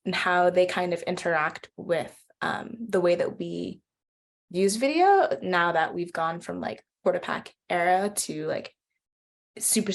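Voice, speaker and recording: A woman from Queens, New York, a video artist and poet, giving a casual, reflective introduction on a video call. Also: on the low side. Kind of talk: video call project presentation.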